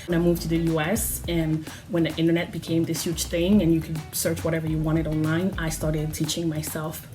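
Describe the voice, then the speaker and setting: A woman's voice: low-pitched, monotone, a little fast, few pauses. A woman, a Lingala speaker, chatting casually to camera at home and laughing often. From Democratic Republic of Congo. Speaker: vlogger. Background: background music.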